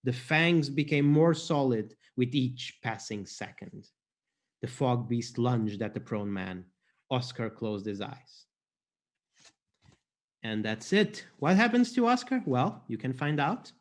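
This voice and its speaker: A man's voice: mid-range voice, expressive, slow. A man from Portugal, an author introducing and reading his own fantasy fiction aloud.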